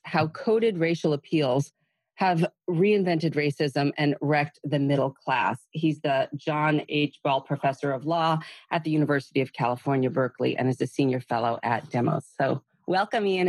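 Gentle woman hosting, dry close sound. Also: sits low, no echo. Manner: gentle, meandering, with many soft fillers. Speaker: podcast host. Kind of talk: podcast interview. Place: home in Massachusetts.

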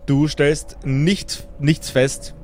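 A man narrating an improvised tabletop game as game master, describing scenes in a measured, deliberate way. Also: fairly high pitch, flat delivery, a little mellow, slight background noise. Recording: music behind the voice. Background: music.

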